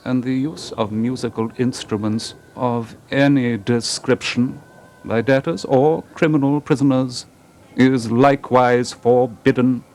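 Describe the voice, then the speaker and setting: A man's voice: medium pitch, fairly even intonation, a little slow, darkish tone, a little hiss or hum. A man formally reading out old prison regulations in a declamatory manner.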